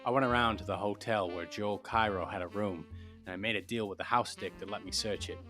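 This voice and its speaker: An actor playing a wry, world-weary private detective in a 1940s noir radio drama, speaking in a clipped, laconic way. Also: male voice, on the low side, steady tone, a little fast, sharp tone, faint music behind. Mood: wry, hard-boiled narrating. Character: tough, wry hard-boiled private detective.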